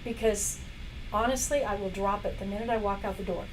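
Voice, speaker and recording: A woman hosting a casual product demo live stream. She is losing her voice and keeps coughing and clearing her throat between chatty remarks. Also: medium pitch, fairly even intonation, fairly quick, background noise. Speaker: live shopping stream host. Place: indoor workplace studio.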